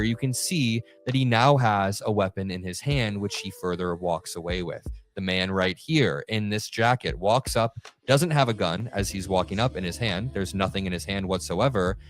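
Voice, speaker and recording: A man giving an online news commentary, indignant and emphatic as he narrates clips. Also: lowish voice. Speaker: political commentator.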